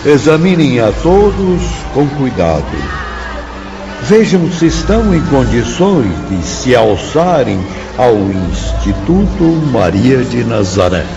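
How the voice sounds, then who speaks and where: An actor playing a wise, solemn and patient spirit teacher in a radio drama, speaking in a measured, formal, didactic way. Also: male voice, medium pitch, sing-song, creaky, dull audio, over music, full character voice. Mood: instructive, solemn.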